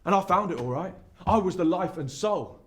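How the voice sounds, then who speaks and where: A man's voice: medium pitch, lively intonation, lightly acted. An actor playing a lost, regretful young man who hides behind showmanship in a theatrical stand-up style monologue. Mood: forced showman cheer. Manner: confessional, halting, with showy bursts.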